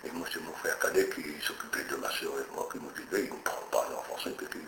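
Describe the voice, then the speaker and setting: An older man from Brittany, recalling his past in a halting, rambling way, repeating words as he searches for them. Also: very deep voice, fairly even intonation, sharp tone, reverberant, slight background noise. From Brittany, France. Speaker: interviewee. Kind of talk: oral history interview.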